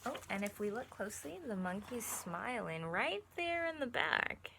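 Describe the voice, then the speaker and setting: A woman's voice: mid-range voice, sing-song, normal speed, creaky, bright, forceful tone, a little hiss or hum. A woman reading a picture book aloud like a teacher, stopping to put questions directly to her young listeners. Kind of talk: animated read-aloud with asides to young listeners.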